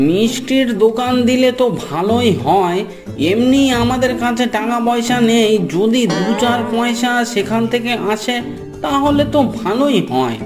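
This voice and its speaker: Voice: medium pitch, expressive, normal speed, close to an everyday voice. An actor voicing a kindly, cautious old village grandfather in a Bengali moral-story cartoon, folksy and full of questions. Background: music.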